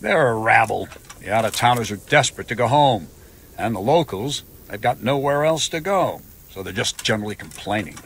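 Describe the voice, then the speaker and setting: A man's voice: mid-range voice, lively intonation, moderate pace, gravelly, fairly bright tone, slight background noise. A man playing a stern, grumbling knight commander in a medieval video game. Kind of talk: video game dialogue acting.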